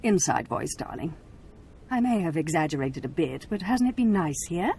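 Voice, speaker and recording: A woman's voice: lowish voice, sing-song, moderate pace, gravelly, a little mellow, slight background noise. A cruel, haughty noble lady. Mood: condescending. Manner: condescending, cold and mocking. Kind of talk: video game cutscene acting.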